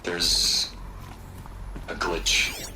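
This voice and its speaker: A smooth, defensive tycoon. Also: male voice, deep voice, completely flat delivery, extremely slow, noisy background. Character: evasive, defensive tech tycoon man.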